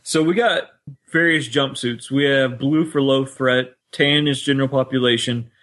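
Male podcast co-host, mid-pitched voice, casual fan-discussion delivery. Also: fairly even intonation, normal speed, fairly bright tone. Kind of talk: casual discussion of an audio drama.

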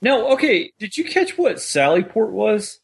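A man's voice: high-pitched, big pitch swings, unhurried, vocal fry, breathy edge. A man co-hosting a podcast in a casual, conversational way. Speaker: podcast co-host. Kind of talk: casual chat.

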